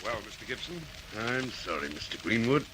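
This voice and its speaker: An actor playing a dogged, frank police detective in a radio drama, with measured, emphatic delivery. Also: male voice, lowish voice, sing-song, background noise. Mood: weary, baffled. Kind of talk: old-fashioned radio drama acting.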